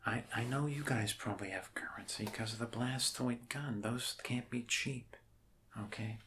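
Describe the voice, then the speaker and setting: A man with an American accent playing a friendly, rambling doctor in a soft-spoken ASMR roleplay, halting, reassuring and dryly jokey. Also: medium pitch, a little hiss or hum, natural voice. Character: friendly, rambling human doctor welcoming an alien to Earth.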